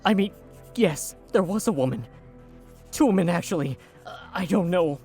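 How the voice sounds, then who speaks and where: A man acting a traumatized young fugitive in an audio drama, speaking haltingly and hesitantly over music. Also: sits high, animated, darkish tone. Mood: hesitant, troubled. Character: traumatized shape-shifting fugitive lad, Lamar, recalling captors. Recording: music under the voice.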